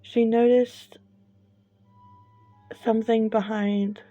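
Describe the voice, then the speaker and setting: A woman narrating a scripted horror story in a slow, hushed, suspenseful manner with long deliberate pauses. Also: a little high, level tone, dry recording. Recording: dull lo-fi, music bed behind. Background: background music.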